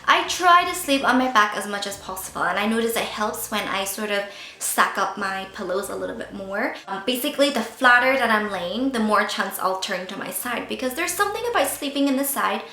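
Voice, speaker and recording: A woman's voice: medium pitch, lively intonation, fairly quick, bright, forceful tone, echoey room. A woman in her thirties from Northern Ireland with a Northern Irish accent, chatting candidly to camera as a beauty vlogger, joking and tripping over words. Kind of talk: casual chat to camera.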